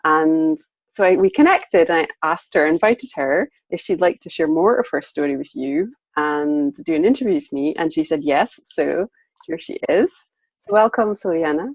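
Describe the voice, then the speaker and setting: A woman hosts an online interview, introducing her guest with keen enthusiasm. Her voice sits a little low. The call audio is dry and somewhat muffled, with little room sound. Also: expressive, moderate pace, slightly rough, no room sound. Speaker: host and interviewer.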